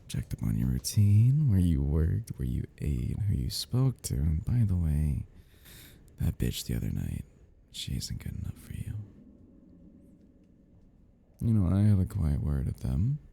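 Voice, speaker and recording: A man playing an obsessive, smug and playful stalker in an intimate roleplay audio, husky and gravelly, teasing and drawling, with drops into whispers. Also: deep voice, warm tone, exaggerated voice. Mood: possessive, menacing. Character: obsessive, smug, playful male yandere crime scene cleaner stalker.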